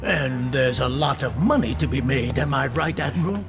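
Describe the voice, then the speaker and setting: An actress playing a sharp-tongued young female war reporter in a video game cutscene, pointed and inquisitive. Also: rumbling low, lively intonation, normal speed. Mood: sardonic, probing. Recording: music behind the voice.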